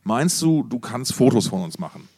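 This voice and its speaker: A man's voice: mid-range voice, very animated, normal speed, darkish tone, husky. A man co-hosting a casual podcast, telling anecdotes in a rambling, wry way with mock-formal asides. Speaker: podcast co-host.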